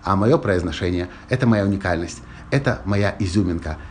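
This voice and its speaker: A man's voice: low voice, a little fast, a little hiss or hum. A man with a Russian-Ukrainian accent hosting a motivational podcast, talking straight to listeners and repeating his key phrases for emphasis. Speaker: podcast host.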